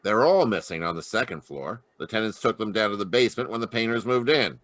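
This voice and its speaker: Man playing a low-voiced, plain radio police lieutenant. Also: lively intonation, fast talker, fairly bright tone, dry recording. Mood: puzzled, businesslike. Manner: hesitant, plain, questioning. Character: plain-spoken, hesitant male police lieutenant, detective's foil. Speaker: actor. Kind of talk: old-time radio detective acting. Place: live online video call.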